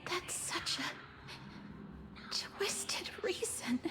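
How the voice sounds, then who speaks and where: A woman playing a tormented young girl who dreads dealing death, speaking haltingly in a dramatic video game style. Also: whispered, music in the background. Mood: troubled, protesting. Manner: slow, halting, pleading.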